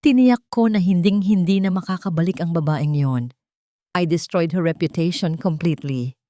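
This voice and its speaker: A woman's voice: on the low side, moderate pace, dark tone, no room sound, close to an everyday voice. A scheming young bride from an audio melodrama, voiced by a woman narrator. She is haughty and gloating, lifting her voice in cold triumph, with a close, dry sound.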